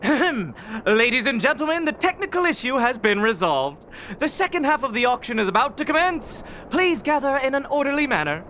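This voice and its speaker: A woman's voice: sits high, sing-song, a little fast, fairly bright tone, slight background noise. A woman voicing a polite, gracious auction house attendant in a video game, formal and courteous. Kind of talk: animated video game voice acting.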